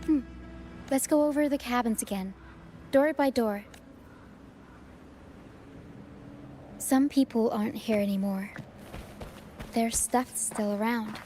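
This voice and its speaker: An actress playing a gentle, dutiful young female first mate in a video game, earnest and reassuring. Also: fairly high pitch, measured pace, long pauses, faint music behind, close to an everyday voice. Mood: solemn resolve.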